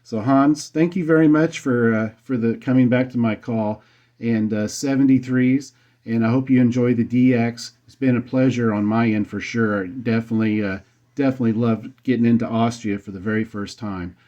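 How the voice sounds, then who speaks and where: A man's voice: mid-range voice, fairly even intonation, normal speed, dark tone. A man with an American accent chatting in an easygoing, colloquial way as an amateur radio operator, speaking into a desk microphone. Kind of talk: casual radio chat. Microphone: USB desk condenser microphone. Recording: slightly dull desk microphone recording.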